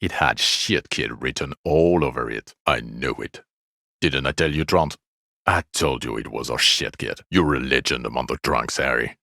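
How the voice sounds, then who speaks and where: Very deep, gravelly, bitter police detective. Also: male voice, normal speed, sharp tone, dry recording, studio quality, full character voice. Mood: bitter contempt. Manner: sardonic, contemptuous, weary scolding. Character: bitter, exasperated, foul-mouthed male police detective, scathingly sarcastic. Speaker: video game voice actor. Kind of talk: video game character dialogue.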